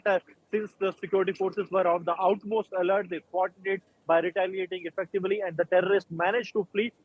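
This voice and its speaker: A man's voice: sits high, no room sound. A man with an Indian accent filing a live news report in run-on sentences over a narrow phone line.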